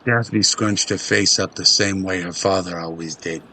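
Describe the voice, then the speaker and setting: A man's voice: low voice, fairly even intonation, moderate pace, pushed and bright, slight background noise. A man reading scripted narration for an audio drama.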